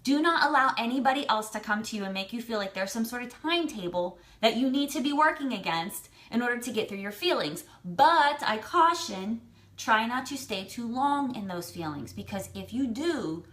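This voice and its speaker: A woman's voice: on the high side, lively intonation, normal speed, a bit bright. An American woman giving motivational advice to camera, blunt and emphatic, repeating phrases for stress. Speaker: vlogger giving personal advice.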